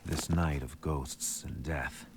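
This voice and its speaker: Horror game lead: haunted amnesiac noir man, bottomless low voice, unhurried and brooding, noise behind. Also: steady tone, creaky, full character voice. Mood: grim, brooding. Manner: slow, grave, brooding delivery with long breaks. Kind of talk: scripted noir game narration.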